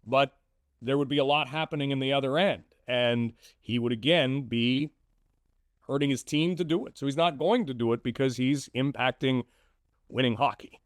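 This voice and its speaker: A man's voice: mid-range voice, moderate pace, dry recording, clean studio sound. A man, a sports broadcaster appearing as a guest, talks through hockey analysis conversationally, explaining with emphatic, repeated short phrases.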